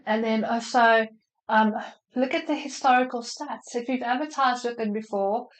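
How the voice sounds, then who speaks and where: A woman's voice: a little high, moderate pace, poor audio. A woman with a flu answering marketing questions in a casual, chatty way on a video call, with many fillers and restarts. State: slightly unwell with a flu.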